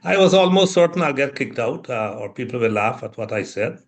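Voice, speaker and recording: An older man from Guyana, an interview guest speaking in a measured, thoughtful way with many pauses. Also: mid-range voice, expressive. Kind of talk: reflective interview talk.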